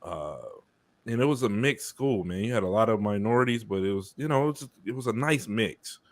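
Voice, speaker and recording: A laid-back man in his early forties from Chicago, joking with friends on a podcast. His voice sits fairly low, and he tells stories at an easy, conversational pace. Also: animated, fairly quick, dry recording. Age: 40s. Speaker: podcast co-host. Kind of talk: casual chat.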